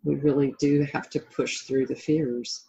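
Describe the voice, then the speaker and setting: A woman's voice: extremely low pitch, flat delivery, unhurried, vocal fry, dark tone, highs cut off. A middle-aged woman being interviewed as a life coach and author, talking reflectively and conversationally in an encouraging way.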